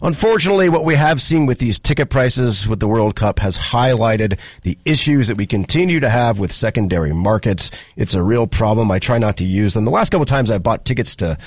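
Radio co-host, male, lowish husky voice, opinionated, wry, lively pitch. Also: fairly quick, few pauses, no room sound, studio quality. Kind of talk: casual radio news chat and sports reading. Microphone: studio microphone.

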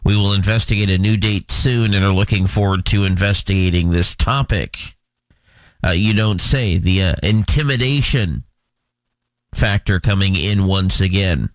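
A man hosting a talk show, giving news updates and introducing a guest, speaking in a measured way with frequent fillers. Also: low voice, dry recording. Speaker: host. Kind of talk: talk show commentary and interview.

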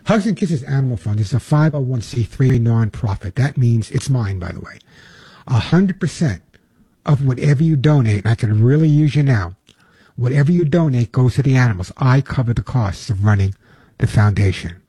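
A man's voice: mid-range voice, lively intonation, normal speed, warm tone, breathy edge, no room sound. An older man hosting a long-running pet radio show, talking in an emphatic, folksy monologue that repeats its points. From Long Island, New York.

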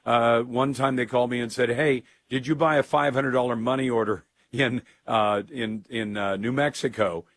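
A man hosting a talk radio show and taking calls, hoarse from a cold, opinionated and conversational. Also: medium pitch, steady tone, a bit bright, no room sound. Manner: opinionated, conversational, quick to jump in. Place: radio studio. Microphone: studio microphone.

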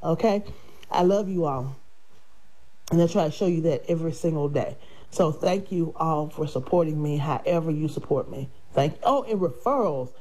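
A woman's voice: deep voice, expressive, moderate pace, poor audio. A woman hosting a radio show and selling life insurance. She talks in a chatty, grateful way with a nasal sound from her sinuses. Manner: warm, grateful, chatty, with laughs. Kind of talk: casual radio talk.

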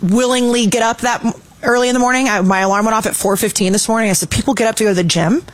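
Chatty Texas-accented woman filling in as co-host, lively storyteller. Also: a little high, racing. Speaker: guest co-host. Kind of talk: casual chat.

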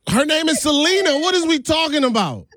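Male American call-in host, middle-aged, animated delivery, slangy and playfully argumentative, recorded dry. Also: very high-pitched, a little fast, dry recording. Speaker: call-in talk show host. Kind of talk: casual banter.

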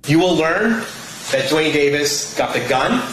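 Prosecutor guy laying it out slow and emphatic, far off, lots of room echo. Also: a little high, animated, fairly bright tone, slight background noise. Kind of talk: courtroom statement. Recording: echoey courtroom, distant mic.

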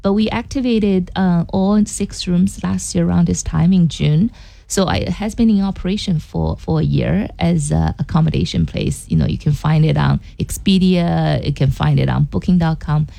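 Low gravelly woman, relaxed explaining. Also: normal speed. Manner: explanatory, frequent "you know" fillers. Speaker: guest, inn and theater owner. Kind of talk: casual radio interview.

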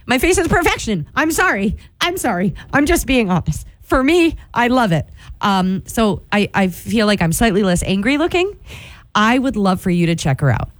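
A woman in her forties, a radio morning show host, reading a chatty, confiding ad in her own voice with playful, self-mocking swoops up in pitch, close to a studio microphone. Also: no room sound. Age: 40s.

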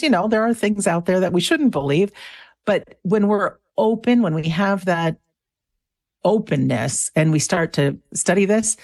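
A woman's voice: deep voice, vocal fry, darkish tone, no echo, clean studio sound. A North American woman of sixty talking as a guest in a conversational radio interview, earnest and enthusiastic. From small town near Niagara Falls. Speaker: interview guest, afterlife show host and author.

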